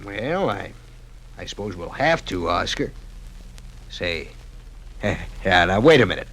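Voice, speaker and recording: Folksy college sports boss, low voice, animated swings, musing then decisive, vintage radio drama. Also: male voice, slight background noise, exaggerated voice, poor audio. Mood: musing, sly. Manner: musing, drawn-out deliberation.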